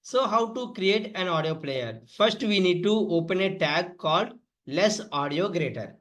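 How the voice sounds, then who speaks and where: A man's voice: high voice, animated, unhurried, a bit bright. A man with an Indian accent giving a step-by-step coding lesson as an instructor, in an instructional, repetitive way. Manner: instructional, repetitive phrasing, addresses listeners as friends. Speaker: tutorial instructor.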